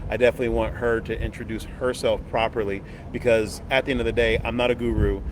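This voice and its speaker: A man's voice: medium pitch, little pitch movement, fast. A man hosting a casual livestream outside a hotel, upbeat and folksy, laughing easily. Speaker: host. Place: outdoors by a hotel on a busy street. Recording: loud outdoor traffic noise.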